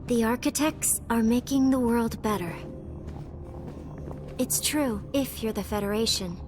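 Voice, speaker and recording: A woman acts a solemn young rebel woman with a fairly high voice. She is measured and composed, in video game style, with music behind her. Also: close to an everyday voice. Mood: grave. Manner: measured, explanatory. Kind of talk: animated video game voice acting.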